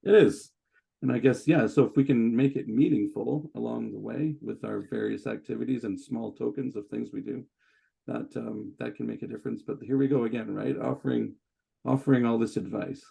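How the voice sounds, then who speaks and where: A man in his forties, a guest psychologist, talking in a relaxed, hesitant way with many fillers. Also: mid-range voice, normal speed, gravelly, husky. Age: 40s. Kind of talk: casual conversation.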